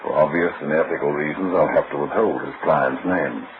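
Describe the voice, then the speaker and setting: A man's voice: extremely low pitch, moderate pace. An actor playing a brusque, commanding lawman in an old-time radio detective drama, with a formal, dry, authoritative way of talking. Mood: formal, firm. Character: brusque, commanding male lawman investigating a robbery. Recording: noisy, tinny old radio recording.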